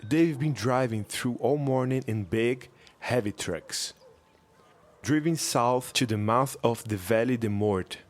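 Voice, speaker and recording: An actor playing a gruff, skeptical local villager in a jungle adventure audio drama, deliberate and ominous. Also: male voice, mid-range voice, natural voice. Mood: grave warning.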